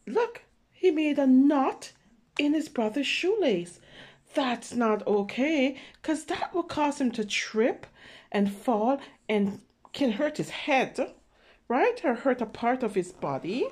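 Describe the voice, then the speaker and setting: A woman's voice: high-pitched, big pitch swings, slow, darkish tone. A woman reading a picture book aloud to young children, explaining simply and checking in with questions. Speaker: children's read-aloud storyteller.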